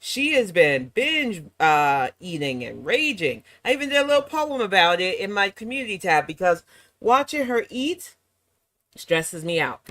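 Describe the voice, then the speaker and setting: Sassy slightly hoarse woman streamer. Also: medium pitch, sing-song, moderate pace, a bit bright, dry recording. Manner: sassy, mocking asides. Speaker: reaction channel host. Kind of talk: casual live-stream chat.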